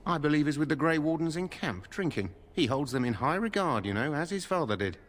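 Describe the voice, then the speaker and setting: An actor playing a polite, reserved royal guard in a fantasy game, discreet and formal. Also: male voice, on the high side, sing-song, fairly quick. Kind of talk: fantasy video game acting.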